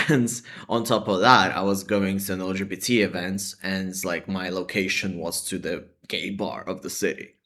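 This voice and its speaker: A man's voice: deep voice, steady tone, normal speed, hardly any pauses, sharp tone. A young man talking casually to camera in a vlog, hesitant and full of "like" and "sort of" hedges. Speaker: vlogger.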